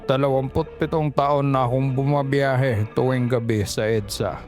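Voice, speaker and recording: Guy doing a sad tired ghost dad, apologetic, normal pitch, horror drama w faint music. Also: steady tone, normal speed, a little mellow, lightly acted. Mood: remorseful. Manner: apologetic, sorrowful. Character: weary, remorseful ghostly father, a jeep driver.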